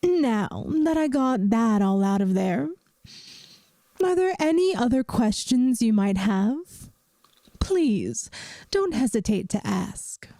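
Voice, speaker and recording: Woman voicing a slow, gentle, high-pitched vampire teacher. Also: sing-song, no echo, full character voice. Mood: wry, explaining. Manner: gentle, soothing, speaks directly to the listener. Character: kindly, wry vampire woman math teacher, reassuring. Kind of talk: ASMR audio roleplay, scripted.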